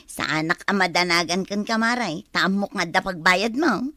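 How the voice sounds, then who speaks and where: A woman with an Ilocano accent acting a bossy, threatening neighbour who collects debts, loud and insistent, in a comic drama. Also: mid-range voice, animated, unhurried, no echo, studio quality. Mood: smug, threatening.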